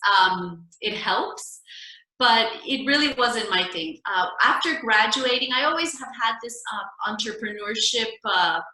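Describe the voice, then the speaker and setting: A middle-aged woman coach, a podcast guest, telling her story in an earnest, steady way over a remote call from home. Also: fairly high pitch. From United States. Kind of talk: casual podcast interview. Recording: echoey room.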